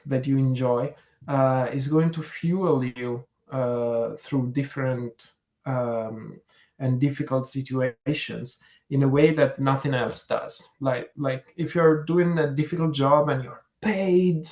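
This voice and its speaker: A man from Italy with an Italian accent, giving conversational interview answers in a reflective, hesitant way with frequent pauses mid-sentence. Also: fairly high pitch, a little mellow. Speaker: career fair interviewee, program manager.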